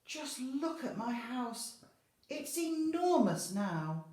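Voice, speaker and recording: A woman's voice: sits high, very animated, slow, a little mellow, roomy sound, pitched above the performer's own voice, exaggerated voice. A woman voicing a frazzled, exclaiming little old lady in a children's storybook. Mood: amazed. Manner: exclamatory, theatrical. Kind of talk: animated children's storybook read-aloud.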